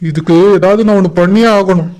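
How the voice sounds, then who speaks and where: Casting: male actor as jealous, scheming young cartoon groom, high voice, aggrieved and insistent, noisy soundtrack. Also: fairly even intonation, quick pace, lightly acted. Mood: angry, insistent. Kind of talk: cartoon voice acting in colloquial dialogue.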